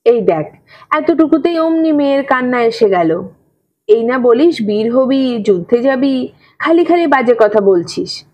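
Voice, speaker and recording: An evasive father in an audio story, acted by a woman reader, talking in a raised pitch. He blusters and cajoles with rhetorical questions, brushing off his child, on a slightly dull recording. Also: lively intonation, unhurried. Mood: coaxing.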